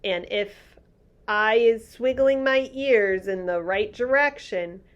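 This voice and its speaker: A woman's voice: fairly high pitch, slow, lo-fi sound. A woman voicing a big friendly old male giant who talks earnestly in muddled grammar. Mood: confiding.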